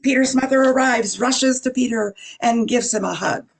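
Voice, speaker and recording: A narrator with a gravelly, husky voice reading a scripted radio drama. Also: mid-range voice, normal speed, heavy background noise.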